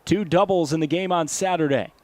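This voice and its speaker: A man's voice: fairly high pitch, a little fast. A man with an American accent calling baseball play-by-play as a live broadcast commentator.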